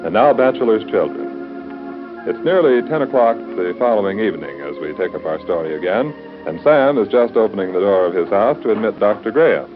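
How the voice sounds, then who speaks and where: A man's voice: lowish voice, lively intonation, a little fast, over music. An American man announcing in 1930s radio style with a polished salesman's delivery, on a muffled old broadcast recording.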